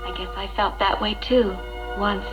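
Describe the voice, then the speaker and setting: A woman's voice: mid-range voice, fairly even intonation, moderate pace, music in the background, natural voice. An actress playing a hurt, despondent young wife and new mother in a 1960s educational film, speaking in a plaintive, doubtful way. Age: young adult. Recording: dull, noisy 1960s film soundtrack.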